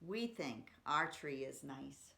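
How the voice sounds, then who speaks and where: Woman, slow pace, poetry reader for children, expressive reading, simple explanations, roomy echo. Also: deep voice, vocal fry. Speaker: library story-time reader. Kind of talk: poetry reading for children, chatting between poems. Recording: roomy, echoey room sound.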